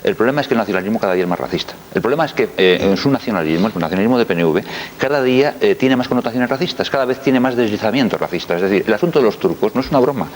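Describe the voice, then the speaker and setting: A man on a TV studio panel who rattles off his argument extremely fast in a lowish voice. He speaks bluntly and argues hard, with a faint hiss in the background. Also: animated. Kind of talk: televised political debate.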